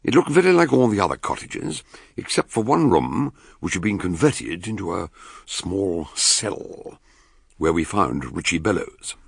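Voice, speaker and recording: Eccentric older British sleuth for radio drama: low, gravelly, husky, ponderous and probing. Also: male voice, very animated, measured pace, exaggerated voice. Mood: measured narration. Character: eccentric, probing older male amateur detective. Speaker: detective and narrator. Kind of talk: British radio drama acting, narration and dialogue.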